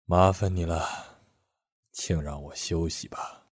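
Man acting a low, slow, weary mystery man. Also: fairly even intonation, clean studio sound. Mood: weary, subdued. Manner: quiet, laconic, slow. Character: weary, mysterious, withdrawn male tenant. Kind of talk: Chinese sci-fi radio drama acting. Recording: roomy sound with music underneath.

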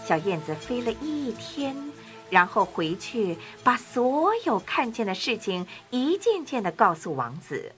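A woman narrating a children's fairy tale from a script, with an expressive storytelling manner. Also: fairly high pitch, measured pace. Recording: clear music bed. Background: music.

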